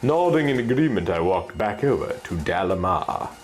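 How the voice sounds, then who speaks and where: A man reading scripted fantasy fiction as an expressive story narrator. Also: low-pitched, moderate pace, soft background music.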